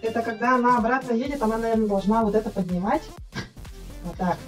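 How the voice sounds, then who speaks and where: Woman off camera, slightly high voice, asks a child questions, faint music behind, home camera mic. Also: fast, distant mic. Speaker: off-camera adult helping a child host. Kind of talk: casual playful chat with a child. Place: indoor room at home.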